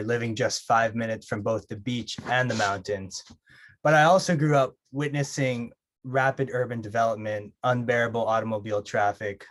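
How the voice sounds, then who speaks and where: A young man from Hawaii, a college student giving a steady prepared presentation. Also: medium pitch. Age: young adult.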